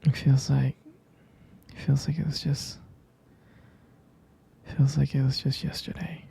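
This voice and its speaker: Guy acting as a soft possessive boyfriend, asmr roleplay, super breathy and crackly, murmuring close and hesitant. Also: medium pitch, heavily acted. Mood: soft, affectionate. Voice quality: breathy, mostly whispered, gravelly. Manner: slow, hesitant, intimate murmuring. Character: tender, possessive, jealous boyfriend soothing his partner. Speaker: audio roleplay performer.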